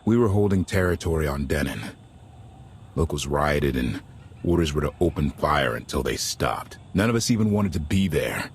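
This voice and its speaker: A man's voice: extremely low pitch, lively intonation, normal speed, a little hiss or hum. A man playing an earnest, idealistic deserter warship captain in a video game, talking in a casual, sincere way. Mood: sober, sincere.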